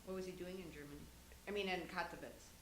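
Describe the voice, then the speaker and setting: A woman's voice: on the low side, steady tone, fast talker, fairly bright tone, reverberant, a little hiss or hum, rough recording. A woman interviewing an older relative, prompting her with questions and keeping track of the time. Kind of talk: family interview.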